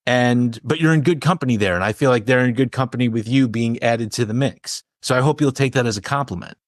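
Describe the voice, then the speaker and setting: A man's voice: medium pitch, a little fast, no echo, clean studio sound. A man interviewing a band, chatting casually and enthusiastically with plenty of compliments.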